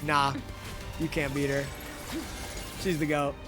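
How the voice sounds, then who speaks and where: A man's voice: fairly high pitch, little pitch movement, takes it slowly, music in the background. A man doing casual live game commentary in a playful, slangy, profane way. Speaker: game streamer.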